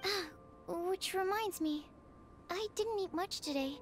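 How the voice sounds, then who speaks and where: A very high, girlish voice for a shy young alchemist in a video game. She is polite, earnest and hesitant, easily flustered, with faint music underneath. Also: a little slow. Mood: thoughtful, earnest.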